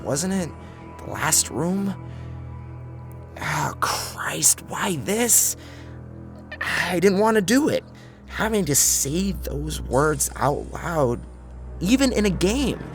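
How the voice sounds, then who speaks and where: A man narrating a first-person horror story in an intense, theatrical, scripted style. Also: mid-range voice. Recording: clear music bed under narration.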